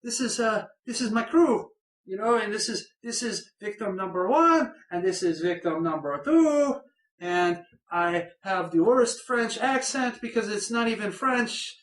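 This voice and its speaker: A reviewer doing a mock tour guide who introduces his crew in a clumsy, overdone fake French accent. The voice sits at a middling pitch and bounces around a reverberant room. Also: lively intonation, a little slow, close to an everyday voice.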